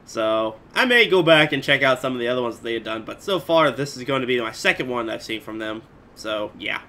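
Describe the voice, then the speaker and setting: A man's voice: medium pitch, lively intonation, fairly quick. A man in his twenties giving casual reaction commentary from a room at home, in a rambling, hesitant way. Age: 20s. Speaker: reaction video host. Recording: noisy home webcam recording.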